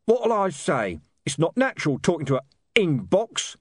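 A coarse thug growls out his lines, blunt and surly in a storybook reading, as a man puts him on. The voice swings about lively and sounds dry and close. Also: fairly high pitch, normal speed, breathy edge, dry recording, voice pushed up, heavily acted. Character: hulking male thug with a damp growl.

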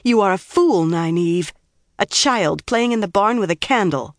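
A woman's voice: fairly low pitch, sing-song, moderate pace, no room sound. A female narrator plays a young woman in a fantasy audiobook, stern and reproving. She lectures firmly and sharply, as if she were the elder. The sound is close and dry. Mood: angry.

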